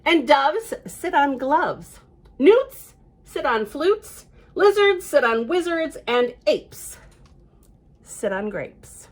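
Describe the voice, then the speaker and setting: A woman reading aloud plays a bossy male cat from a picture book who knows everything. He speaks slowly and emphatically, drawing out each pronouncement. The pitch is high and extremely animated. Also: exaggerated voice. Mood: emphatic, bossy. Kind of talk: children's storybook read-aloud.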